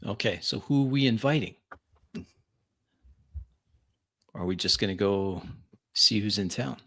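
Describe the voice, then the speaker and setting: A man playing a refined, snobbish vampire with measured, disdainful speech in an improvised tabletop roleplay. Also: fairly low pitch, creaky, a bit bright. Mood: cool, skeptical. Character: refined, snobbish male vampire who savors quality.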